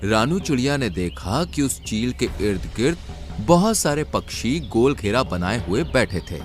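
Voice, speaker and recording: A man's voice: mid-range voice, very animated, measured pace, faint music behind. A man narrating a scripted children's cartoon story.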